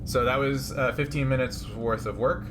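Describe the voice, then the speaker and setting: A man's voice: medium pitch, steady tone, normal speed, noisy background. A man running a tabletop role-playing game, explaining rules and narrating in a conversational way. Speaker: game master.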